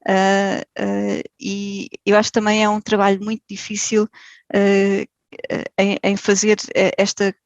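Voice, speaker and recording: A woman's voice: mid-range voice, monotone, unhurried, no room sound, muffled recording. A woman from Portugal with a European Portuguese accent, a podcast guest talking casually and hesitantly, with many fillers and restarts. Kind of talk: casual interview.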